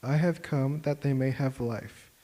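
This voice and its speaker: A man reading scripture aloud in church, measured and steady. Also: medium pitch, low-quality recording. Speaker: scripture reader. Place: church sanctuary.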